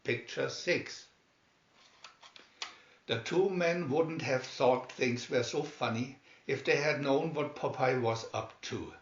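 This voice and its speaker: A man's voice: mid-range voice, takes it slowly, a little hiss or hum. A man narrating a children's cartoon story from a script, as a storybook reader. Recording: dull, roomy home recording.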